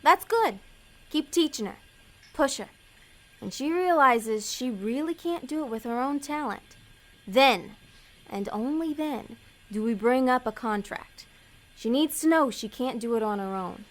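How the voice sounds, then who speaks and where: A woman playing a scheming, bossy female agent of Hell in a comedic audio drama, brisk, persuasive and easily exasperated. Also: high-pitched, lively intonation, low-quality recording.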